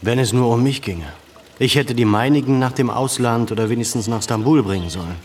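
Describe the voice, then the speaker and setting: A man's voice: mid-range voice, brisk, darkish tone, slight background noise, close to an everyday voice. An actor playing an educated, earnest Armenian man in a radio play, brooding and pensive, with a troubled intensity. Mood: worried.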